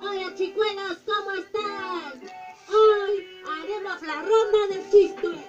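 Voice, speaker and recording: A woman's voice: very high voice, fairly even intonation, takes it slowly. A woman playing a slangy, playful joke teller with an Argentine accent in an amateur radio play. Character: slangy, slow-talking joke teller hosting a joke round. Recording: muffled, distant, music behind.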